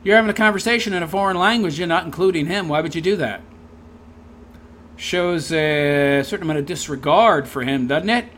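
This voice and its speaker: A man's voice: a little high, fairly quick, a bit bright, slight background noise. Sarcastic man mocking what he reads. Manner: sarcastic and scornful. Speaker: commentary video host. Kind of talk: reading aloud and mocking commentary.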